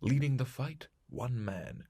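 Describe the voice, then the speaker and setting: A man playing the portentous announcer of a 1950s-style space radio serial, with a gravelly, husky voice and dramatic delivery. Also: mid-range voice, expressive, measured pace, warm tone.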